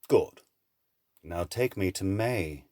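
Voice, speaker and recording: A man's voice: deep voice, lively intonation, slow pace, warm tone, no room sound, studio quality. A man playing a suave, menacing villain in an audio drama, mannered, condescending and mockingly polite. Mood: cool, commanding.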